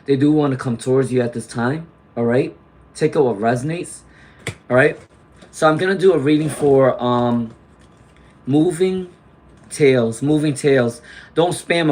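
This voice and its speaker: A man doing a live tarot reading, chatty and reassuring, clearing his throat often as he loses his voice to laryngitis. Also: medium pitch, measured pace, slight background noise. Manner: chatty, reassuring, frequent "okay?" and "all right?" tags. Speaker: tarot reader; teacher.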